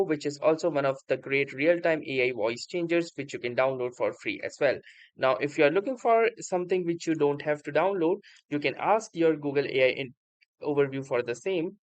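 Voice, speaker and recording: A man narrates a casual software walkthrough as a tutorial presenter. His voice sits a little high for a man, and he moves through the steps haltingly, with small stumbles and stock phrases. The sound is dry, with no room echo.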